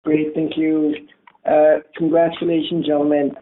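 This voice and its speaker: A man's voice: a little high, completely flat delivery, measured pace. Guy calling in with questions on earnings call, dull audio, bit of hiss. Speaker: caller asking questions. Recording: noisy, muffled phone-like call line.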